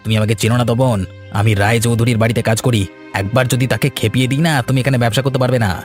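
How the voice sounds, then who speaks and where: A man's voice: medium pitch, fairly even intonation, fast talker, soft background music, natural voice. A man voicing a shifty, cowardly village schemer in a cartoon folk tale, blustering and whiny, stammering when cornered. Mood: defiant. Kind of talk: animated folk tale voice acting.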